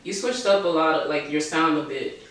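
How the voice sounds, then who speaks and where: A man's voice: on the high side, moderate pace, a bit bright. A man with an American accent interviewing someone in a casual, slangy and agreeable way. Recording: very echoey, distant mic, noisy background.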